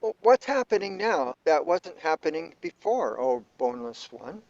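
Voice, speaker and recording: A man acting in a radio play as a matter-of-fact companion who explains things deliberately. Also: a little high, sing-song, darkish tone, no room sound, lo-fi sound. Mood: explaining.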